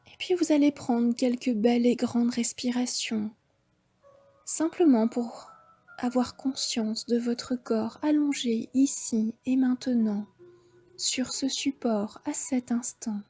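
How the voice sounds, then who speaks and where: A woman with a French accent guiding a yoga nidra relaxation, giving slow, soft, half-whispered breathing cues. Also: a little high, breathy edge, soft background music. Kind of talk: guided relaxation instruction.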